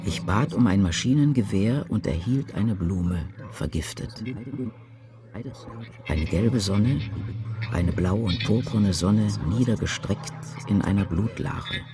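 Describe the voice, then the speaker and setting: A man performing dramatic poetry in a radio play as a grave witness of war, with intense, deliberate declamation. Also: fairly low pitch, lively intonation. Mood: stark. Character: grave male poetic witness of war and apocalypse.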